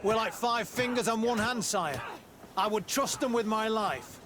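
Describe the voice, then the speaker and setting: An actor playing a nervous, eager, obedient soldier in a video game, deferential in manner. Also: male voice, sits high, measured pace, a crowd in the background. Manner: hesitant, deferential. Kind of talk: video game cutscene acting. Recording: outdoor ambient noise throughout.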